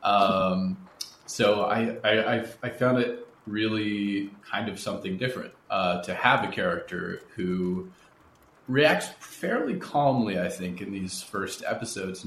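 Low-voiced man interviewing in an echoey room. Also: slow pace, a bit bright. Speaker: interviewer, podcast host. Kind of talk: conversational interview. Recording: roomy echo with background noise.

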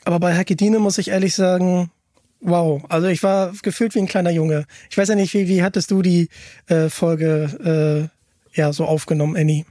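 A man's voice: high voice, flat delivery, normal speed, warm tone, no echo, studio quality. A man hosting a podcast, chatting casually and with enthusiasm. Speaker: podcast host.

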